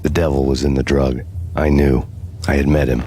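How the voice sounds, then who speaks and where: An actor playing a world-weary, vengeful noir antihero, narrating a video game in a deadpan, fatalistic, hard-boiled way. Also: male voice, extremely low pitch, normal speed, a little hiss or hum. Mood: grim, fatalistic.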